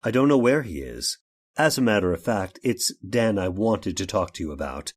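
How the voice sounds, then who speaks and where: An actor playing a courteous, ailing inventor of artificial limbs in a radio horror drama, speaking in a halting, rambling, genteel way. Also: male voice, on the low side, big pitch swings. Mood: polite, uneasy. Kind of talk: old time radio horror drama acting.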